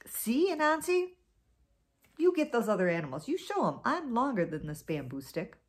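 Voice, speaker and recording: A woman's voice: lowish voice, very animated, moderate pace, husky. A woman voicing a proud, easily offended male snake who speaks in a boastful, indignant way.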